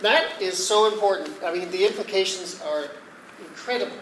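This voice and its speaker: A man's voice: high-pitched, moderate pace, fairly bright tone. A man on stage playing a doubtful, questioning actor in a comedic play about a rehearsal. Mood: earnest. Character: skeptical actor questioning an absurd rehearsal script. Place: live stage at a one-act play festival. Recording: roomy stage sound with noise.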